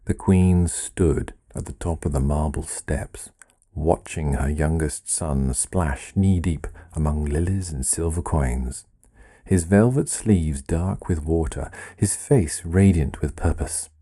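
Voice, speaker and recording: Very deep, dark-voiced male storyteller. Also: breathy edge. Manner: measured, deliberate, wry delivery. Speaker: author reading own story. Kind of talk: scripted literary storytelling narration.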